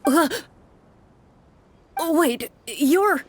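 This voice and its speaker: Anxious high-pitched townsman. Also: animated, very slow, full character voice. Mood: flustered, excited. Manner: hesitant, exclamatory. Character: anxious, pleading townsman, relieved and grateful for help. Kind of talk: video game dialogue.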